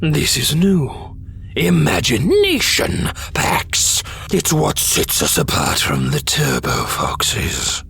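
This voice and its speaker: A man voicing a cruel, gloating robot warlord in an animated fan dub, savoring each theatrical, menacing phrase. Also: a little high, slow pace, vocal fry, slight background noise, exaggerated voice. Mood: gloating menace.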